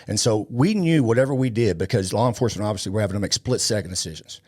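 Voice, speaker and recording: A man's voice: lowish voice, fast, darkish tone, slightly rough. A man in his fifties speaking as an interview guest, blunt and impassioned, repeating phrases for emphasis. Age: 50s. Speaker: podcast guest, law enforcement trainer.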